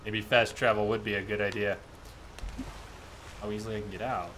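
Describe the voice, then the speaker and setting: Low-pitched man casually streaming a game. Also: flat delivery, a little fast, sharp tone, slight background noise. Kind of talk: live gameplay commentary, casual chat. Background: game music.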